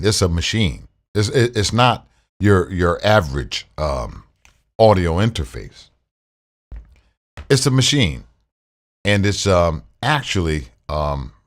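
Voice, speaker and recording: A man with a deep baritone voice giving a relaxed, rambling gear walkthrough into a studio condenser mic in a home studio. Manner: relaxed, repetitive, uses fillers. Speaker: tech reviewer. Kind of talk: casual tutorial with screen share.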